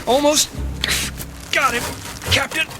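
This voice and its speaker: High-voiced, nervous, deferential soldier. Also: male voice, steady tone, slow, music in the background. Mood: nervous, hesitant. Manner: stammering, deferential. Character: nervous, obedient, hesitant young soldier. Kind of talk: radio drama acting.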